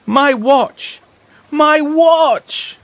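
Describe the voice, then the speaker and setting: A man voicing an excitable, stubborn twelve-year-old storybook boy with emphatic, insistent delivery. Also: extremely high pitch, drawn-out words, no echo, voice pushed up, heavily acted. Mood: panicked.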